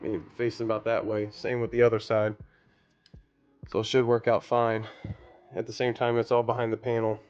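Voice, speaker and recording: Flat-toned man talking through a DIY job. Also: medium pitch, normal speed, long pauses, clear, resonant tone, muffled recording. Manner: rambling, thinking aloud while working. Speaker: DIY car audio vlogger. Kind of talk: casual hands-on tutorial narration.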